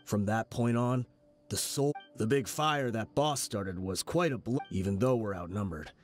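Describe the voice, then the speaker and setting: An actor playing a weary, solemn rebel mercenary in a video game, speaking in a measured, regretful way. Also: male voice, lowish voice, natural voice. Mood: grim resolve. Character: grave, weary male rebel mercenary haunted by his sins. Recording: game audio with background music.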